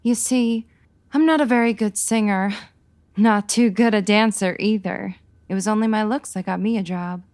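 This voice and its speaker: A woman's voice: medium pitch, steady tone, moderate pace, a little mellow, lightly acted. An actress playing a worried, earnest showgirl in the melodramatic manner of 1940s radio noir.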